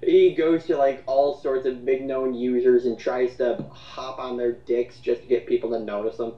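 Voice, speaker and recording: A man's voice: medium pitch, steady tone, moderate pace. A man co-hosting a casual mocking commentary, sarcastic and profane, often exclaiming as he talks. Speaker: co-host reading and mocking online comments. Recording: roomy, distant, slightly dull mic.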